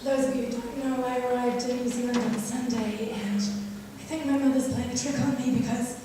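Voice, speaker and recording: A hoarse woman in her fifties giving a composed, reflective funeral eulogy at a church lectern, with a wry touch. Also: fairly high pitch, steady tone, normal speed, far from the mic, noisy background. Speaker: eulogist, eldest daughter. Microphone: microphone at a lectern. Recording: echoey hall, picked up from afar.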